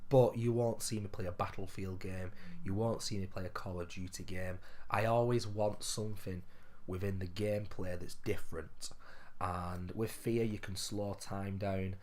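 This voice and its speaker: A man with a sore throat, his voice hoarse, low and gruff, chatting casually to viewers about games he has bought. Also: steady tone, normal speed. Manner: chatty, addresses viewers as ladies and gentlemen. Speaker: gaming vlogger. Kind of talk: casual game pickups vlog.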